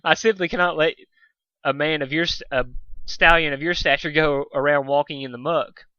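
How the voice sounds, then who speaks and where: A man's voice: fairly high pitch, fairly even intonation, normal speed, pushed and bright, clean tone, no echo, highs cut off. A man voicing an elegant, flirtatious female cartoon unicorn, theatrical, genteel and doting. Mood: insistent, genteel.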